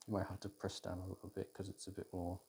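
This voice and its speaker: A British man in an intimate, casual ASMR roleplay as a boyfriend cooking, talking in an unhurried, hesitant way as if thinking aloud. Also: low-pitched, completely flat delivery, darkish tone, slightly rough. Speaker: audio roleplay performer playing a boyfriend cooking. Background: kitchen food preparation sounds.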